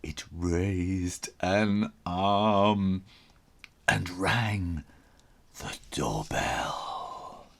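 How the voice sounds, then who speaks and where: A man's voice: low voice, extremely slow, creaky. A man reading a children's story aloud as its author, theatrical and suspenseful, drawing out his words.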